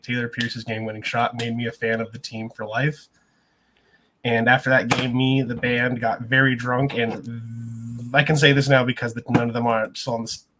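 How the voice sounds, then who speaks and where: A man's voice: medium pitch, a bit bright, slight background noise. Confident joking male podcast co-host. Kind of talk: casual sports podcast chat.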